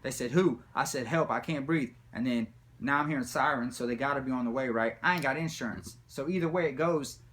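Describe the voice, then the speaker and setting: A man telling a comic personal story in a rambling, slangy, profane way with animated retelling. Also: mid-range voice, fairly quick, few pauses. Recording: background noise, room ambience.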